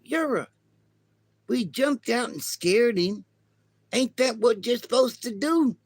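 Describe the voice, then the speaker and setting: A short, bumbling alien from a far-off planet, high and excitable, with a folksy drawl that swoops up and down, as a man puts him on. He speaks slowly into a dry, close mic. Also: no room sound, exaggerated voice. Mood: baffled.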